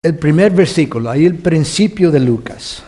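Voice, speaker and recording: Man, Bible teacher, Costa Rican Spanish accent, mellow tone, halting delivery. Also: a little high, lively intonation. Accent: Costa Rican and Mexican Spanish. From United States, California. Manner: warm, halting with many restarts, chuckles often. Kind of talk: sermon teaching.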